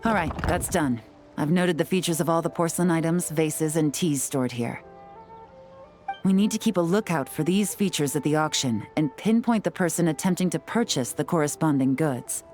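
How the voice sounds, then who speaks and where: A woman playing a cool, low-voiced secret agent. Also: faint music behind, lightly acted. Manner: calm, measured, quietly confident. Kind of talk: video game voice acting.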